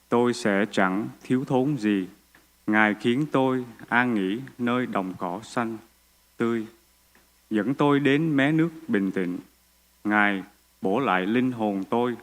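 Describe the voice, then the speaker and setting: A man reads scripture to a congregation in a steady, even recitation. His voice sits at a middle pitch for a man, and he reads with care. The reading takes place in a church. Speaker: scripture reader. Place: church sanctuary.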